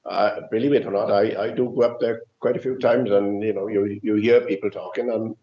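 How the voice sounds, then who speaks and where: A man's voice: lowish voice, fairly even intonation, brisk. A man, a councillor speaking at a formal meeting in a chatty, informal way. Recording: dull, roomy recording.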